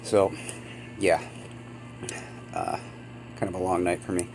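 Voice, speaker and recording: A man with a very low voice talks through his gameplay in a loose, rambling way, full of ums and little apologies. He sounds sniffly from a cold. A steady hum sits under the home recording. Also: takes it slowly, creaky. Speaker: gaming channel host. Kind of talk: casual gameplay commentary.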